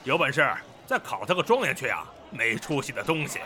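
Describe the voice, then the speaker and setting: A man's voice: medium pitch, sing-song, brisk, crowd noise, lightly acted. A man playing a brash village fishmonger in a period audio drama, jeering and needling in colloquial speech.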